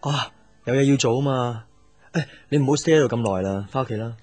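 An actor playing a guarded, work-bound young boyfriend in a Cantonese radio drama. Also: male voice, mid-range voice, fast, a little mellow, faint music behind, close to an everyday voice. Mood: tired, considerate.

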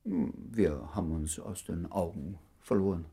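A doctor talking as an old friend in a radio drama. His voice sits fairly low. He is dry and understated, with a concerned, probing undertone. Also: a little slow, vocal fry, warm tone. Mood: cautious, probing. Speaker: actor in a radio play. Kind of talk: conversational German radio play acting.

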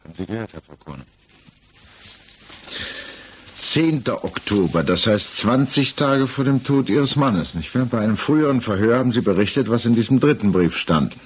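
An actor playing a stern, methodical examining magistrate in a 1960s German radio play, speaking formally, precisely and insistently. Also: male voice, mid-range voice, lively intonation, fairly quick, slightly rough. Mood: probing, pressing. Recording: noisy 1960s mono radio recording.

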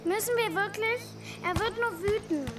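A woman's voice: extremely high pitch, normal speed, far from the mic, crowd noise. A female actor playing a hesitant, sulky little girl with a whiny, unsure manner in a radio crime drama. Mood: upset. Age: child. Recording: off-mic with clear ambient noise.

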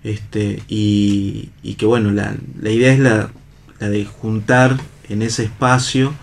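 A man with a low, gravelly voice answers in a casual interview. He has an Argentine accent and speaks haltingly, often searching for his words. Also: level tone. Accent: Argentine Spanish. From La Rioja, Argentina. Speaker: theatre actor and director, interview guest.

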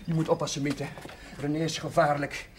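Actor as hesitant, higher-voiced young village priest. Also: male voice, little pitch movement, brisk, background noise, lightly acted. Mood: agitated, self-mocking. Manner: hesitant, stammering. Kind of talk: television period drama acting. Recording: music behind, 1970s television soundtrack.

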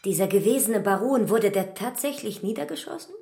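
An actress playing a frank, spirited woman in a radio play, with a husky voice and a direct manner. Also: medium pitch, expressive, fairly quick, dark tone. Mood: lively curious.

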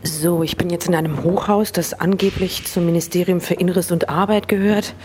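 A woman's voice: fairly low pitch, fairly even intonation, normal speed, natural voice. A woman playing an assertive, wry test participant in a naturalistic radio drama. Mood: matter-of-fact. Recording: roomy sound, faint noise.

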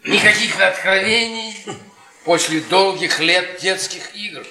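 A man's voice: high voice, slow, noisy background. An actor playing a bitter, weary middle-aged history professor who mocks and needles his guest in theatrical Soviet stage radio drama style. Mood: teasing, probing. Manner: theatrical, needling, repeats words teasingly. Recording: echoey theatre stage with audience sounds.

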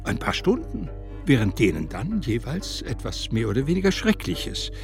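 A man narrating a literary radio drama in a deliberate, theatrical way. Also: medium pitch, wildly swooping pitch, faint music behind.

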